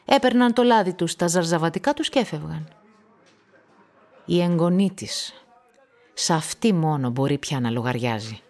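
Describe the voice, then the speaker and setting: A woman's voice: low-pitched, animated, long pauses, darkish tone. A woman giving scripted dramatic narration in a radio play.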